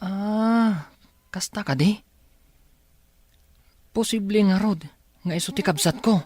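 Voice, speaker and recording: Guy playing a respectful young son in a radio drama, slightly high voice, dry sound. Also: takes it slowly, a little mellow, breathy edge, no room sound, heavily acted. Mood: curious. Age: young adult. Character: earnest young man, respectful son living in a dormitory. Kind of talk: radio drama acting in Ilocano.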